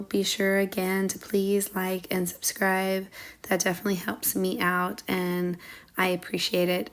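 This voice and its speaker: A woman narrating a casual craft tutorial in a chatty way, her voice a little under the weather. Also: mid-range voice. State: under the weather, recovering lost voice. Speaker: craft channel host.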